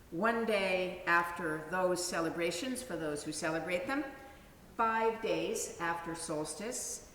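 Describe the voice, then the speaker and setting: A woman minister welcomes her congregation in a relaxed, conversational way, now and then pausing on an uh. She stands back from the mic in a large meeting house, and her voice rings through a long echo. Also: on the low side. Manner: conversational, with an occasional uh and um. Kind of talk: welcoming and introducing a worship service. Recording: echoey hall, from a distance, faint noise.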